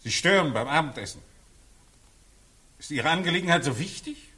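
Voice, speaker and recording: A pompous middle-aged German colonel in an old radio play. His voice is sharp, husky and very animated, with clipped, condescending military phrasing. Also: fairly high pitch, moderate pace, full character voice. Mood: irritated, condescending. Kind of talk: 1940s German radio drama acting. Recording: 1940s radio drama recording.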